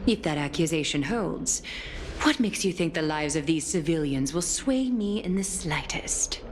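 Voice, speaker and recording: An actress playing an ageless, dignified demigod lady in a video game, speaking in a measured, formal, coolly disdainful way. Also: sits low, animated, background noise. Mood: cold, stern. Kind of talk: dramatic video game voice acting.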